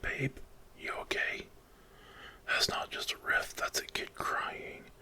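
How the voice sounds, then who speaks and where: A man voicing a chubby, caring male raccoon in a hushed, tender whisper for an ASMR story. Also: slow pace, close to an everyday voice. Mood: worried.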